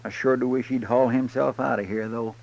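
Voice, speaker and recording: An actor playing a loyal, deferential, simple-hearted deputy in a 1950s radio western, talking in a folksy, hesitant way. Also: male voice, medium pitch, fairly even intonation, fairly quick, creaky, darkish tone, highs cut off, close to an everyday voice. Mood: anxious. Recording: noisy, crackly old radio recording.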